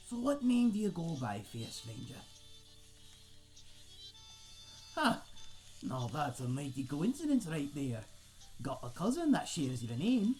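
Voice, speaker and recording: A man's voice: mid-range voice, a little mellow, low-quality recording. A man playing a friendly, chatty old tavern keeper in a fantasy audio roleplay, with a folksy, rambling, self-deprecating way of talking to the listener. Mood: chatty, welcoming. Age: older. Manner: rambling, folksy, hesitant, chuckling aside to a guest.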